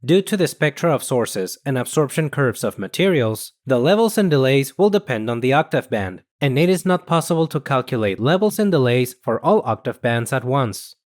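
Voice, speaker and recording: A man reading scripted instructional narration in a measured, explanatory manner. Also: medium pitch, sing-song. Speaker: software tutorial narrator.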